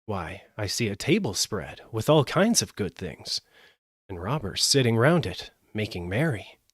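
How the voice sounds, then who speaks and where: A man's voice: fairly low pitch, big pitch swings, unhurried, husky, no room sound, clean studio sound, exaggerated voice. A man voicing a sociable, practical talking donkey in a storybook reading, persuasive and matter-of-fact. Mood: eager. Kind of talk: live storybook character voice.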